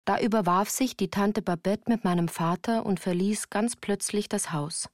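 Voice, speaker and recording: A woman's voice: mid-range voice, normal speed, no echo, clean studio sound. A woman reading scripted first-person narration for a radio play. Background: music.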